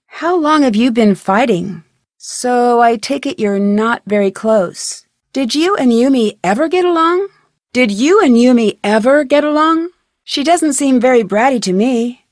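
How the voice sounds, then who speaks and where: Casting: young female sleuth in a mystery game; higher-pitched, curious, determined, questioning delivery, close dry recording. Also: darkish tone, dry recording. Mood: curious, polite. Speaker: video game voice actress. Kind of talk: scripted game dialogue, conversational questions.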